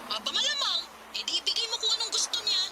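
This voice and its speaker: A Filipino woman in a radio drama playing a callous, scheming young wife with a brisk, scolding, dismissive manner. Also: extremely high pitch, sing-song, very noisy.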